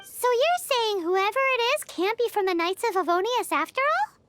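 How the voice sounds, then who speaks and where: A woman voicing a tiny, excitable floating girl companion in a video game who is chirpy and blunt and teases people. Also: very high-pitched, moderate pace, a little hiss or hum. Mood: skeptical. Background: game music.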